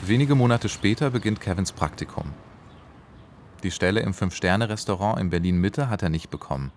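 A man reading scripted documentary narration in a measured, matter-of-fact way. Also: low voice, animated, background noise.

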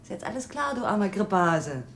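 An actress playing a breezy, teasing girlfriend in a radio drama, chatty and expressive. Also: mid-range voice, a little fast. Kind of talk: German radio drama acting.

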